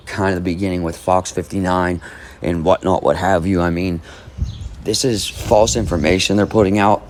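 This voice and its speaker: Casting: man, lowish voice, talky true crime host who reads news aloud and picks it apart. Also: darkish tone, a little hiss or hum. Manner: rambling, skeptical, hums between passages. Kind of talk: casual commentary reading an article aloud.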